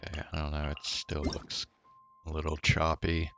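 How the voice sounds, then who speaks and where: A man chatting casually on a game stream in a hesitant, halting manner. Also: extremely low pitch, monotone, a bit bright. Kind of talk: casual live stream chat. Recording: dull mic over game music.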